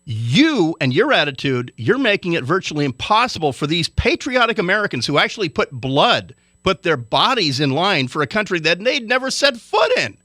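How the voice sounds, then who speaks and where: Lively, bright-voiced, indignant male commentator. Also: sits high, moderate pace, few pauses, no room sound, clean studio sound. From Hawaii. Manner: indignant, lecturing, forceful. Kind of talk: radio commentary rant.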